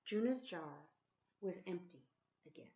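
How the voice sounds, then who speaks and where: Animated woman reading a storybook aloud. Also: on the low side. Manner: animated, pausing to ask listeners questions. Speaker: teacher reading a picture book aloud. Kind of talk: expressive children's storybook read-aloud. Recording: dull lo-fi sound.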